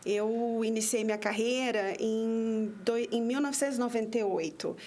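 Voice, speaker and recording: Hesitant woman in her fifties, higher pitch. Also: moderate pace, darkish tone, a little hiss or hum. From interior of São Paulo state, Brazil. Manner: hesitant, many restarts and fillers. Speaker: public prosecutor, podcast guest. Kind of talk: conversational interview.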